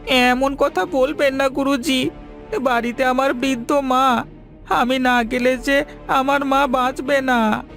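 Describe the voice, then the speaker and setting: A woman's voice: sits high, fairly even intonation, takes it slowly, vocal fry, fairly bright tone, faint music behind, exaggerated voice. A woman voicing a humble, devoted young village son in a fairy-tale cartoon, earnest and respectful. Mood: humble, pleading.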